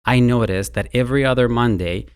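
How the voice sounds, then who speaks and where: A man's voice: mid-range voice, a little fast, clean tone. Mexican-accented man talking analytics. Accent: Mexican Spanish. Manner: talkative, repeats words when starting sentences. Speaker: university marketing executive, podcast guest. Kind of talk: conversational interview.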